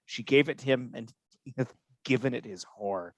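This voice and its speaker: A man's voice: mid-range voice, moderate pace, dry recording, natural voice. A man playing a scheming, manipulative villain in a Shakespearean radio drama, insinuating and goading in manner. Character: scheming, manipulative male villain, a soldier's confidant.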